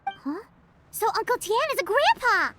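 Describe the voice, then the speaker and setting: A woman voicing a tiny, excitable, childlike fairy companion in an anime video game. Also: normal speed, a little hiss or hum, exaggerated voice. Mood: surprised. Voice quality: squeaky, childlike. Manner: excitable, refers to self in third person. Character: tiny floating fairy companion, childlike, cheerful and greedy for food.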